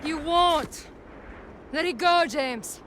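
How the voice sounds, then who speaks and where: A woman's voice: high voice, slow pace, fairly bright tone, a little hiss or hum. An actress playing a cool, double-crossing female villain in a video game cutscene, mocking and self-assured. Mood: taunting, cold. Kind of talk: cinematic video game acting.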